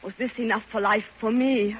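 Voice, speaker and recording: An actress playing a passionate, lonely elderly widow, theatrical and yearning, in an old radio drama. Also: female voice, on the high side, fairly even intonation, brisk, close to an everyday voice. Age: older. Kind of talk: 1950s radio drama acting. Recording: dull old radio recording, faint music behind.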